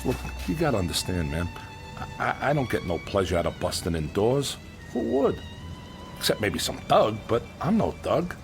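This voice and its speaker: Streetwise demolition man, lively pitch, music behind. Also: moderate pace. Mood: apologetic, defensive. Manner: colloquial, streetwise, insistent, pleading to be understood. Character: gruff, working-class demolition contractor, blunt but well-meaning. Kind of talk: radio drama acting. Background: music bed.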